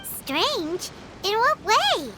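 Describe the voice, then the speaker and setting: A woman voicing a small, childlike, inquisitive fairy companion in a video game, with a squeaky voice. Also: big pitch swings, drawn-out words, very noisy, heavily acted. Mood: puzzled, nosy. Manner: refers to self in third person, blunt questioning. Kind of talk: video game character acting. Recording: background music under the voice.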